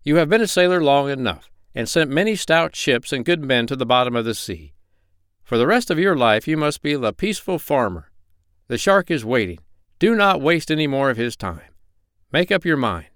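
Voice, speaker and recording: A man's voice: medium pitch, big pitch swings, normal speed, a bit bright, clean tone, no echo, studio quality, voice pushed up. A man voicing a kindly but stern animal doctor in a children's story, lecturing in a firm, formal way.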